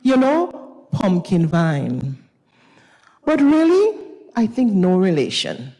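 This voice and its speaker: An old Grenadian mother, played by a woman on stage, wise and consoling. Her voice is husky and swings expressively as she takes her time over each phrase, ringing in a reverberant recital hall. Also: medium pitch, wildly swooping pitch, slow pace, mellow, heavily acted. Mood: musing. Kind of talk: dramatized stage reading of fiction.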